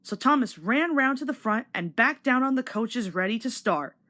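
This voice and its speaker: Woman reading a kids story out loud, super expressive, pitch goes all over. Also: moderate pace. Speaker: storybook narrator. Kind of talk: scripted children's story read-aloud.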